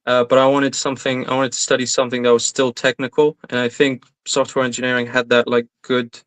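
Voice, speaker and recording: A man's voice: mid-range voice, little pitch movement, fairly quick. A young man from Iraq, a recent graduate, answering interview questions in a casual, hesitant way with many fillers. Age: young adult.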